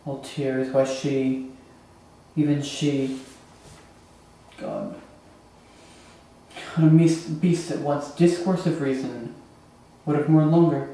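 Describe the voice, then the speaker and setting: A man acting a grieving, bitter young prince in a theatrical Shakespearean monologue, with halting, emphatic delivery and dramatic pauses. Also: medium pitch, steady tone, dark tone, a little hiss or hum. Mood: anguished. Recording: echoey room, distant microphone.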